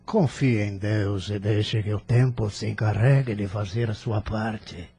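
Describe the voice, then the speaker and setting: Kindly hospital doctor for audio novel, male actor: gravelly, husky, composed and courteous. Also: fairly low pitch, fairly even intonation, unhurried, warm tone, full character voice. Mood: calm sympathy. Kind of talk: audio drama acting.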